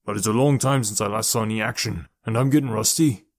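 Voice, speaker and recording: A man voicing a gruff, nonchalant hard man who mumbles, as an audiobook character. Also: fairly low pitch, quick pace.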